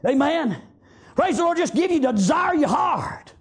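A man with a Southern US accent preaching a fervent revivalist sermon, exhorting loudly and peppering it with praise interjections. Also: very high-pitched, sing-song, moderate pace.

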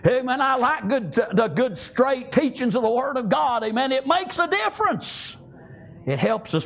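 Older male Southern US preacher, extremely high pitch, folksy and fervent sermon delivery. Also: vocal fry, fairly bright tone. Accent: Southern American. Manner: folksy, punctuated with frequent amens and praise God.

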